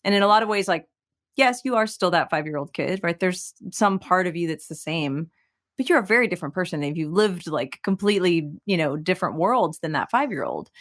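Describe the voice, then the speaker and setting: A woman from the United States, a singer-songwriter guest in a conversational interview, talking in an animated way as she searches for her words. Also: on the low side, a little fast. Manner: animated, searching for words, many fillers and restarts.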